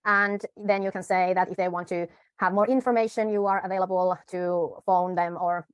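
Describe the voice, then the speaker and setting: A woman coaching viewers through a media pitch in a tutorial, unhurried, pausing and correcting herself often. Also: fairly even intonation, clean tone, no room sound. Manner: unhurried, with frequent pauses and self-corrections. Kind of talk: tutorial talk to camera.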